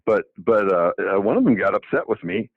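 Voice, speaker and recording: A man's voice: lowish voice, expressive, fairly quick, no echo. A man in his seventies, a doctor, talking casually on the radio with many restarts and fillers. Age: 70s. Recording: phone-like dry narrow line.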